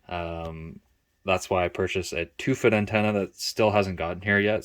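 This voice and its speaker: A man hosting a casual how-to vlog, talking informally and thinking aloud as he explains. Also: low-pitched. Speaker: vlog host showing a DIY truck install.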